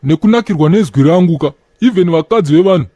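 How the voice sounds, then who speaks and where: A man acts out a self-important street joker in a comedy cartoon. He rattles along quickly in a mellow, Zimbabwean-accented voice, boasting and mocking in slang. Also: on the high side, lively intonation, dry recording. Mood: boastful.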